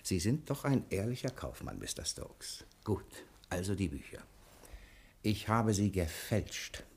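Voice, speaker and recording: An actor playing a cocky, quick-witted bank cashier in a 1960 radio crime drama, brisk, self-assured and commanding. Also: male voice, lowish voice, very animated, husky, full character voice. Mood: cocky, impatient.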